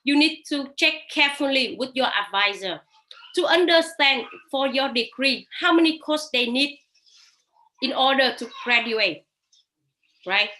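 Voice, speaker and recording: A woman with a high, bright voice speaks on a live video-call webinar. English is not her first language, and her phrasing is halting, with many fillers and restarts. She talks students through practical advice. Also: animated, rough recording. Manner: hesitant non-native phrasing with frequent fillers and restarts. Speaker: webinar speaker sharing study-abroad experience.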